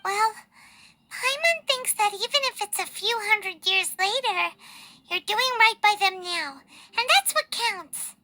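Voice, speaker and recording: A very high, squeaky voice for a tiny female fairy sidekick in a game. The delivery is perky and childlike, swinging up and down with a bright edge. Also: lively intonation, a little slow, no room sound, heavily acted. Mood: cheerful, reassuring. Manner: chirpy, refers to self in third person. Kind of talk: scripted video game voice acting.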